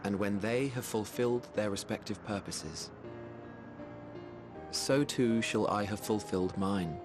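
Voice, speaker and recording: An actor playing a genial, wry mage in a video game, speaking in eloquent, formal phrases with fond admiration. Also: male voice, lowish voice, music in the background, lightly acted. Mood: fond, proud. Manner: eloquent, formal, measured storytelling. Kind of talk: video game cutscene acting.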